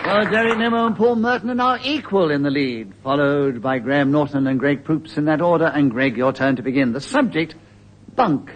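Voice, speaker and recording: A man's voice: fairly high pitch, brisk, muffled recording, crowd noise. A man chairing a comedy panel game, handing out points and turns in front of a theatre audience. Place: radio theatre with a studio audience. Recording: theatre audience noise, clicks. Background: loud audience.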